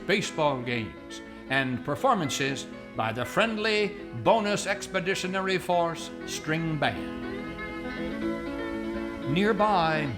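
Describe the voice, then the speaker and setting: A man narrating a radio play as announcer, in a measured, formal old-time radio manner. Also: fairly high pitch. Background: plucked guitar music.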